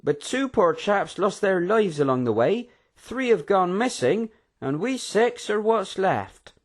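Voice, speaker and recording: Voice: medium pitch, sing-song, unhurried, pitched above the performer's own voice. A reader voicing a cheerful, hearty middle-aged man welcoming guests. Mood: recounting. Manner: hearty, beaming. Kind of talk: audiobook character voice.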